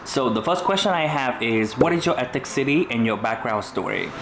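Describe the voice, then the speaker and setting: A man's voice: mid-range voice, animated, fairly quick. A young man from Vietnam, speaking English with a Vietnamese accent, chatting casually on his vlog with lots of "you know" fillers. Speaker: YouTuber. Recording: echoey room with faint noise.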